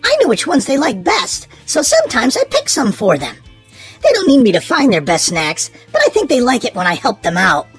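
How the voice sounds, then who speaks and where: A woman reads a children's storybook aloud in an expressive, sing-song way, leaning on key words for young listeners. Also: a little high, brisk, slightly rough, soft background music.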